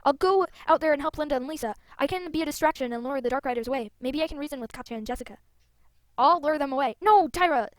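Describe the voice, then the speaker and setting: A woman's voice: high-pitched, fast, no room sound, lightly acted. A woman voicing an earnest, brave young heroine in a fantasy game, with an urgent manner. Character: earnest, brave young female soul rider.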